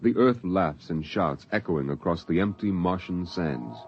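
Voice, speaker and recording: A man acting as the solemn, poetic narrator of a 1950s radio science fiction drama, measured and atmospheric. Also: low-pitched, background noise. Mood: solemn, lyrical. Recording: dull 1950s radio recording. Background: dramatic music.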